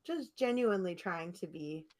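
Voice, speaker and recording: A woman's voice: on the low side, lively intonation, moderate pace, darkish tone. A woman co-hosting a podcast in a casual chat, talking in a rambling, teasing way. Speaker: podcast co-host.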